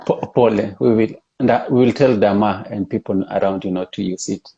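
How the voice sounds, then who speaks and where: A man interviewing someone in a casual, conversational way, with hesitant, unhurried phrasing. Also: on the low side, warm tone, husky, lo-fi sound. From East and West Africa. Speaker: interviewer, host.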